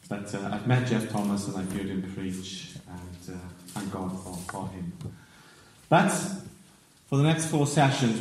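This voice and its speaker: A man's voice: lowish voice, darkish tone, slight background noise. A man from South Wales with a Welsh accent, preaching a sermon as a visiting preacher, earnest and building to emphatic declarations. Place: church sanctuary. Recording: echoey church from far off.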